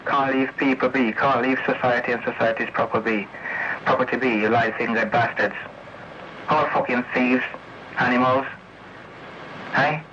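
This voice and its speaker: A man's voice: mid-range voice, steady tone, moderate pace, pauses often. An angry English man ranting confrontationally and repetitively over CB radio, swearing often. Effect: CB radio transmission. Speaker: CB radio user. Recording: noisy, muffled vintage CB radio recording.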